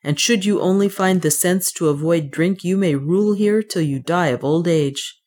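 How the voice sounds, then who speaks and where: A woman voicing a weary, stern gentleman adventurer in an audiobook, in a formal, plain-spoken way. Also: fairly low pitch, moderate pace, natural voice. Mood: sad, wry. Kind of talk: audiobook character dialogue.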